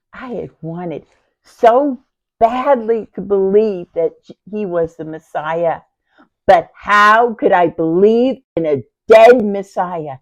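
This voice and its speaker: A woman playing a devoted, grieving youngest sister in a theatrical, deliberate Bible monologue that moves between hushed tones and outcries. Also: fairly low pitch, gravelly, exaggerated voice. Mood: grieving, subdued. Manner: theatrical, deliberate, swinging from hushed near-whispers to loud outcries. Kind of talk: dramatic first-person Bible monologue. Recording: dull, lo-fi sound.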